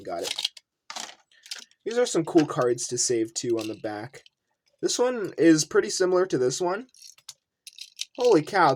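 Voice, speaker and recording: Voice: mid-range voice, a little mellow. A video host giving casual unboxing commentary, rambling and thinking aloud while showing collectibles. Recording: noisy room with clicking handling sounds.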